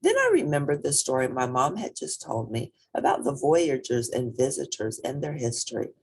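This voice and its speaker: A woman reading a children's picture book aloud in an expressive, deliberate and emphatic storytelling manner. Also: very deep voice, husky. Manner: deliberate, emphatic storytelling with spoken asides to listeners.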